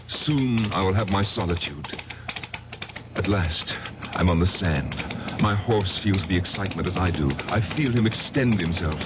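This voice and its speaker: An actor playing a middle-aged, bitter, reclusive man who confides haltingly and deliberately, in old-time radio drama style. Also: low-pitched. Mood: wistful, rapt. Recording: muffled, noisy old radio broadcast.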